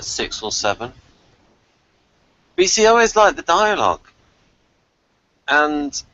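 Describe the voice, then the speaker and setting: A man's voice: mid-range voice, pushed and bright, no room sound. A man hosting a review podcast, chatting casually. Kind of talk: casual chat. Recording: dull, lo-fi voice recording.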